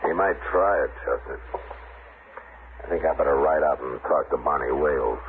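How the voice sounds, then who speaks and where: An actor playing a stern, steady Old West marshal in an old radio drama, speaking plainly in a measured way with an American accent. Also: male voice, rumbling low, gravelly. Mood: grave, firm. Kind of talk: 1950s radio drama acting. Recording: tinny, noisy old radio recording.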